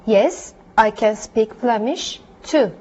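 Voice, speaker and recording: High-pitched woman student reading practice lines, muffled classroom sound. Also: slow pace, a little mellow. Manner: reads short practice phrases carefully. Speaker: language student. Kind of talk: classroom role-play dialogue.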